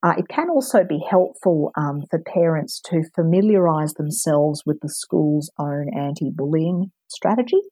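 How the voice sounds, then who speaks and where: A woman, giving calm, measured advice as an interview guest, with frequent ums. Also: low voice, husky, no room sound. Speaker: anti-bullying expert guest. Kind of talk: calm advisory interview answers.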